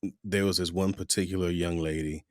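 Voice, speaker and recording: A middle-aged man from the United States hosting a podcast, telling stories in a rambling, animated way. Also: low-pitched, brisk, dry recording, studio quality. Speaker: podcast host. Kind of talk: casual interview chat.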